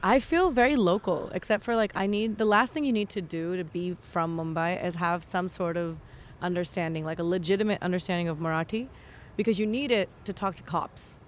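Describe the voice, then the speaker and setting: An American woman, a singer, chatting in a relaxed way and telling stories as a radio guest. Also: fairly low pitch, fairly quick, slight background noise. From United States. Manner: relaxed, laughs easily, storytelling. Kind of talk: casual interview chat. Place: radio studio.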